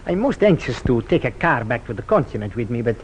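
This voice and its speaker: A man's voice: mid-range voice, sing-song, fast talker, close to an everyday voice. An actor playing a polite, ingratiating male con-man in a 1950s radio drama. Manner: courteous, ingratiating. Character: smooth-talking, polite male con-man car thief. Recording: noisy old radio recording.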